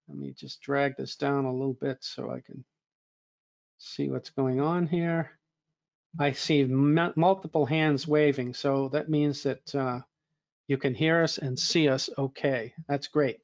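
A slightly hoarse man moderating an online training webinar, with a somewhat high voice. He talks in a relaxed, conversational way with fillers and restarts. The sound is close and dry. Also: a little slow, dry recording.

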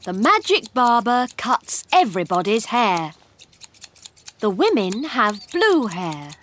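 A woman storyteller reads simple scripted narration for English learners. Her voice is fairly high and animated, with slow deliberate phrasing, over faint background noise. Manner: deliberate, expressive.

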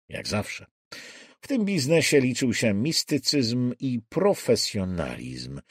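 Husky man narrating a thriller. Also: medium pitch, a little mellow, no echo, clean studio sound. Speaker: audiobook narrator. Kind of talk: dramatic scripted narration of a thriller. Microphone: close studio microphone.

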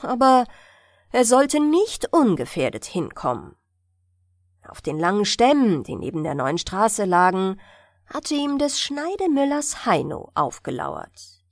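Female audiobook narrator for bedtime fairy tales: unhurried, animated intonation. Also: medium pitch, no echo, clean studio sound.

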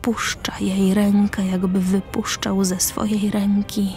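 A woman narrating a radio drama, reading stage directions in short measured phrases. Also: medium pitch, level tone, darkish tone. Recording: music behind the voice.

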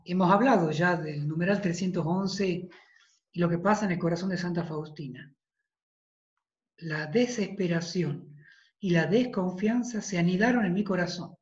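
A man in his thirties with a Tucumán Argentine accent, a priest giving an emphatic devotional talk over a video call, repeating phrases for weight and clearing a tired throat. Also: sits high, moderate pace. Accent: Tucumán Argentine Spanish. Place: study with bookshelves, indoors. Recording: roomy, dull video-call audio.

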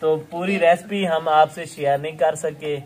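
A man's voice: on the high side, fairly even intonation, normal speed, a little mellow. A young man from Pakistan hosting a casual village vlog outdoors, chatty and laughing often as he talks to his viewers. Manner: chatty, laughs often, keeps saying "okay". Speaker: vlog host.

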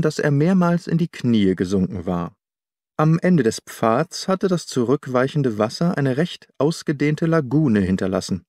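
A man narrates an audiobook in a formal, measured way. His voice sits at a medium pitch. The recording is very dry and close, with hardly any room sound. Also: a little mellow, no echo. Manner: measured, formal literary storytelling.

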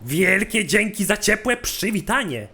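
A man playing a brash, sarcastic, hot-tempered brother with hurt pride in a fan-dubbed animated cartoon. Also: high-pitched, a little slow, slightly rough, exaggerated voice. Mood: sardonic, wary. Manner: slangy, sarcastic. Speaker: fan dub voice actor. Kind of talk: animated cartoon dub acting.

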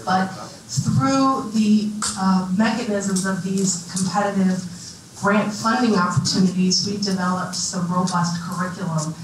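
A woman business development consultant introducing her program on a panel in a measured, friendly way. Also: medium pitch. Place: large conference hall with audience. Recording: echoey hall from far off, room noise.

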